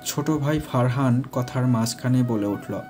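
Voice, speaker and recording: A man's voice: medium pitch, a little slow, a little mellow. A man narrating a scripted romantic family story as the narrator. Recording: faint music behind.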